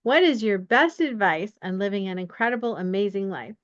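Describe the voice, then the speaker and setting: A woman's voice: mid-range voice, lively intonation, fairly quick, no echo. A woman hosting a podcast and putting conversational questions to a guest. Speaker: podcast host and interviewer. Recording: dry, dull lo-fi sound.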